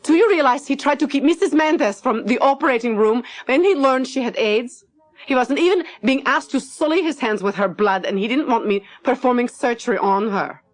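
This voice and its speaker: A woman's voice: high voice, fairly quick, voice pushed up, lightly acted. A woman narrator voicing a snappish, severely logical woman doctor in her late fifties in an audiobook story. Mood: indignant. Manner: snappish, emphatic, cutting. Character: sharp, indignant woman doctor of fifty-eight, severely logical.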